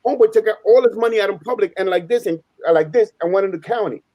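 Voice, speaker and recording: A man's voice: high voice, very fast, mellow, no echo. A man who is a native Spanish speaker tells stories casually on a panel, using slangy street talk, repeating himself emphatically and acting out dialogue. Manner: emphatic, repeats phrases, acts out quoted dialogue. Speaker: panelist on a live open panel. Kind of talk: casual animated storytelling.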